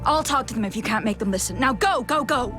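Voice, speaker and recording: A woman playing a harried, demanding military supply officer in a video game, brisk and commanding. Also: on the high side, lively intonation, natural voice. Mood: urgent, impatient. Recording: music playing behind the voice.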